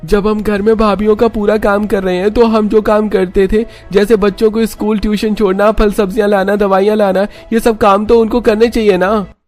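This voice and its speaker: A man voicing a stubborn grown brother in a cartoon story, talking in a plain matter-of-fact, bossy way in a slightly high voice. Light music plays beneath him. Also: flat delivery, normal speed, darkish tone, natural voice. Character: adult brothers running the household, teasing and stubborn.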